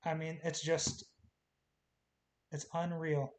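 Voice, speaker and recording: A man talking casually through stock charts in short, clipped calls, his voice going hoarse as he says he is losing it. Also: fairly high pitch, flat delivery, takes it slowly. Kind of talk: casual screen-share chart commentary. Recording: faint hiss.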